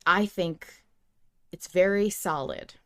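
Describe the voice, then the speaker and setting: A woman co-hosting a fan podcast, chatting casually and reading out emails in an animated, emphatic way. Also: fairly low pitch, slow pace. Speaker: podcast co-host.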